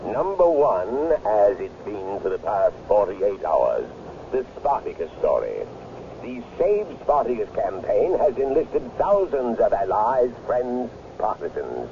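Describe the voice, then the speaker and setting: A solemn newsreader in a radio play. He announces formally at a slow pace with animated pitch. The vintage recording is dull and faintly noisy. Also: heavily acted. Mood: grave, announcing. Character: solemn radio newscaster, male. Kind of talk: 1970s radio drama acting.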